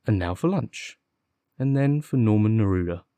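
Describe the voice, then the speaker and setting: A man voicing a confident, impatient gentleman detective, articulate and sardonic, in an audiobook. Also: on the low side, expressive, moderate pace, no room sound. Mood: excited. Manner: articulate, commanding, witty. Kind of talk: audiobook character dialogue.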